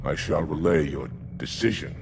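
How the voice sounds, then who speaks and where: An actor playing a stern but deferential alien military commander, formal and gravelly, in a video game cutscene. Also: male voice, extremely low pitch, moderate pace, noisy background. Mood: restrained protest.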